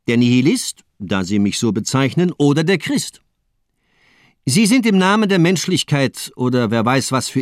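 An actor playing a cold, fanatical nihilist doctor in a German radio crime drama, declaiming with mockery and rhetoric. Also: male voice, fairly high pitch, darkish tone, no echo, clean studio sound. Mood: mocking, challenging. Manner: rhetorical, mocking, declamatory monologues.

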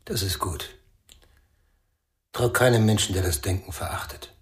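An actor playing a wary, defiant musician in a radio crime drama, terse and quietly philosophical. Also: male voice, low voice, a little slow. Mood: calm, probing.

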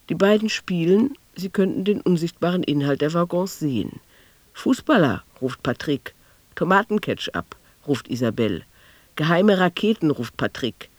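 Higher-voiced, dry male narrator. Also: a little mellow, no echo. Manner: measured, precise phrasing. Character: precise storyteller narrating a documentary-like scene. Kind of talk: scripted radio drama narration.

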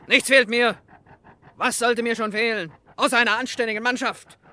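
An actress playing a gruff, vengeful female whaling captain with a brusque, declamatory, poetic manner in a comic radio serial. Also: a little high, fairly even intonation, moderate pace, bright, forceful tone.

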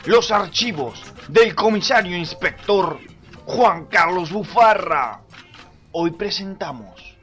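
A man's voice: high-pitched, expressive, takes it slowly, a bit bright, soft background music. A man with a Rioplatense accent narrating a radio drama in an overblown announcer style. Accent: Rioplatense Spanish.

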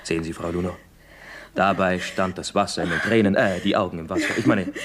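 A man's voice: deep voice, fairly even intonation, background noise, close to an everyday voice. A man playing a glib, teasing young actor in a 1960s German radio comedy, theatrical and mock-earnest. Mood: soft pleading. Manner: theatrical, mock-earnest, swings from brisk patter to soft pleading. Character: glib, teasing young actor, smooth-talking his landlady.

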